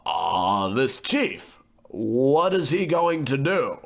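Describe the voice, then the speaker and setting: A man playing a smug, pompous, well-educated gentleman in a comic old-time radio play. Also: mid-range voice, big pitch swings, very slow, gravelly, full character voice. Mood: puzzled. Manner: pompous, haughty, declamatory.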